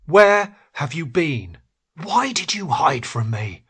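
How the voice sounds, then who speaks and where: A man's voice: a little high, sing-song, slow pace, fairly bright tone, husky, no echo, pitched above the performer's own voice, full character voice. A man voicing an agitated, demanding woman in a literary story, with an urgent, complaining manner. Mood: angry, accusing.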